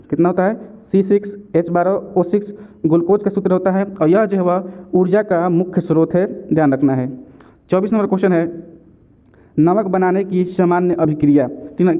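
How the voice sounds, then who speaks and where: A man teaching exam questions and answers in a didactic way, repeating key points as reminders. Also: sits high. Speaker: exam-prep chemistry teacher. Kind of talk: question-and-answer lesson narration. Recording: narrow, tinny phone-like sound.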